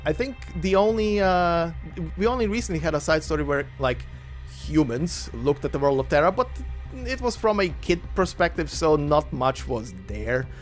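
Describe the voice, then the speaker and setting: A man from Croatia, a native Croatian speaker, chatting casually as the host before narrating a game story. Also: moderate pace. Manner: chatty and rambling, with lively pitch jumps. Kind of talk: casual spoken intro to a game story reading. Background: faint looping game menu music.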